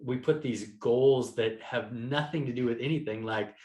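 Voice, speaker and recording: A man's voice: medium pitch, moderate pace, dark tone. A man in his thirties talking casually and emphatically as a podcast guest, with informal, youthful phrasing. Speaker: podcast guest, entrepreneur and author. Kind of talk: casual interview conversation. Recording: roomy, echoing sound.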